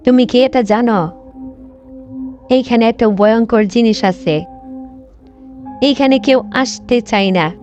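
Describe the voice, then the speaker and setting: A woman's voice: a little high, slow, pauses often, darkish tone. A woman playing a mysterious, chatty young female stranger in a horror cartoon. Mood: ominous. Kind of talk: cartoon horror story dialogue. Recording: dull lo-fi sound, faint music.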